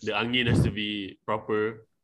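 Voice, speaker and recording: A man's voice: lowish voice, little pitch movement, moderate pace, fairly bright tone, low-quality recording. A man from Malaysia co-hosting a casual podcast, trading playful jokes. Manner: joking, playful banter. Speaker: podcast co-host. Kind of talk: casual chat.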